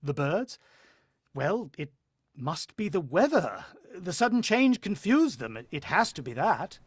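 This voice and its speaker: A man's voice: on the high side, sing-song, unhurried, slightly rough, no room sound, exaggerated voice. A man acting in a radio drama as a shaken, earnest, protective father with a hesitant way of explaining himself. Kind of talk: radio drama acting and first-person narration.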